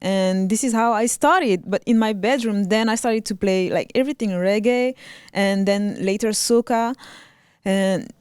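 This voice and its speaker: A young woman with a French accent, a violinist chatting as a guest in a casual interview, soft-spoken with frequent ums and restarts. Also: medium pitch, a little slow, smooth, dry recording, clean studio sound. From Reunion Island, raised in Paris.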